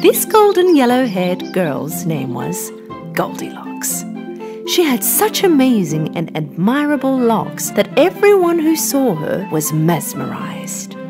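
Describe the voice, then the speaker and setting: A woman's voice: fairly high pitch, huge pitch swings, slow. A woman narrating a scripted children's bedtime story as the storyteller. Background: background music.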